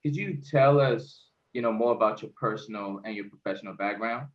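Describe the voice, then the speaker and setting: A man's voice: fairly low pitch, fairly quick. A man hosting an interview, upbeat and casual, asking follow-up questions with easy fillers. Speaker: interview host. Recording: echoey, dull-sounding room.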